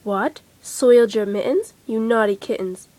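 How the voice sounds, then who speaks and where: A woman's voice: on the high side, normal speed, mellow, close to an everyday voice. A woman voicing a strict but loving mother cat in a nursery rhyme, with emphatic, motherly delivery. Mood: scolding. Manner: emphatic scolding and praising.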